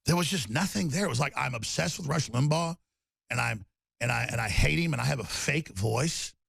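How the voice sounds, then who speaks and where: A man hosting a talk radio show, raspy and torn-up in tone, rambling emphatically with loud bursts and low mutters. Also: expressive, a little fast, no echo, clean studio sound. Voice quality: raspy, gravelly voice. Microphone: studio microphone.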